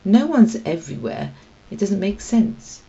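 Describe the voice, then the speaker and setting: A woman's voice: mid-range voice, very animated, measured pace, creaky, dark tone, muffled recording, pitched above the performer's own voice. A woman voicing a tough, teasing female street cat in a children's storybook, with a blunt, mocking delivery. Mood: scornful, warning. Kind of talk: children's storybook read-aloud.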